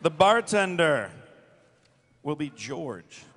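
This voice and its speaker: A man's voice: fairly high pitch, big pitch swings, takes it slowly, a bit bright. A man moderating a panel, announcing the cast for a live reading. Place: large convention hall stage. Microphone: panel microphone through PA. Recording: reverberant hall over PA.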